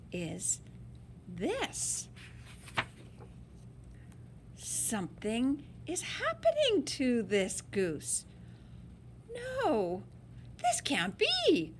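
A woman's voice: a little high, a bit bright, slight background noise. A woman reading a picture book aloud to children in a dramatic, emphatic and playful way. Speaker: story-time reader.

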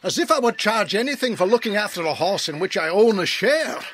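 A man's voice: high-pitched, lively intonation, brisk, fairly bright tone, slight background noise. A British man playing a jolly, generous country vet in a children's audio drama, hearty and exclamatory. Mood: pleasantly surprised.

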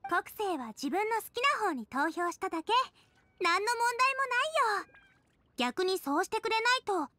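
A woman's voice: very high-pitched, expressive, normal speed, pushed and bright, no echo, heavily acted. A woman voicing a cheerful, sincere teenage girl cook in an anime game, casual and frank in manner. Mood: reassuring, frank. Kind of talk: Japanese anime game voice acting. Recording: faint music behind game dialogue.

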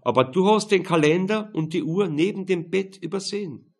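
A man voicing a calm, fat police detective in an audiobook, explaining evidence matter-of-factly. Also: sits high, moderate pace, pitched above the performer's own voice.

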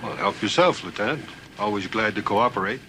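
A man's voice: lowish voice, animated, fairly quick, natural voice. An actor playing a cooperative sheriff's chief in his fifties in a 1970s TV drama. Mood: cordial, official. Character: fifty-something lean, muscular sheriff's division chief, cooperative. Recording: noisy old TV soundtrack, vehicle ambience.

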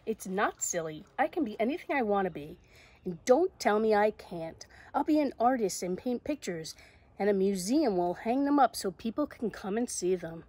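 A woman voicing a bold, imaginative little girl from a picture book, speaking emphatically, with a slightly husky voice. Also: medium pitch, big pitch swings, normal speed, a little mellow. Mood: defiant, proud. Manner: emphatic, declarative, defiant.

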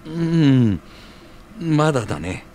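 A man playing a reasonable, reassuring friend in an audio drama, speaking gently. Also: a little high, sing-song, slow pace, a little hiss or hum.

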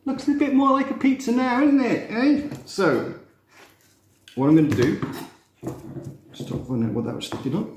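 A man, teaching a relaxed cooking demonstration in a chatty, self-mocking way with playful asides. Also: extremely high pitch, moderate pace, long pauses. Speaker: cooking instructor. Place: glass-walled kitchen room facing a garden. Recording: roomy echo, faint background noise.

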